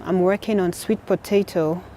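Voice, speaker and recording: A woman's voice: lowish voice, steady tone, normal speed, noisy background. A woman, a trainee scientist speaking earnestly and reflectively in an interview. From Nigeria. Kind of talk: interview testimonial.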